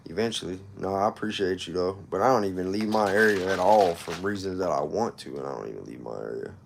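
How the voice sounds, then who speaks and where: A man's voice: sits low, fast, creaky, a little hiss or hum. A man in his thirties hosting a casual livestream, telling personal stories in informal street slang and repeating himself for emphasis. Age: 30s. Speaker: livestream host.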